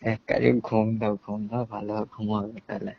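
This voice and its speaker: Low, flat-toned man on a phone. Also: moderate pace, vocal fry. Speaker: friend receiving a call. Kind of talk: casual phone chat. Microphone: phone call. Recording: muffled phone line.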